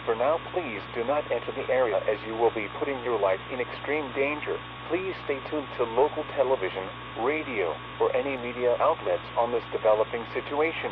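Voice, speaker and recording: A man's voice: medium pitch, brisk, hardly any pauses, lightly acted. A man's voice as an emergency alert announcer, reading scripted warnings in a formal, impersonal, official manner. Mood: impersonal, urgent. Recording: noisy tinny radio-like narrow band.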